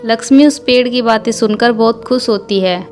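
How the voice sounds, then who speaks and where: A woman narrates a scripted cartoon moral tale in a fairly high voice. Music plays under her storybook delivery. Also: moderate pace, a little mellow. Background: background music.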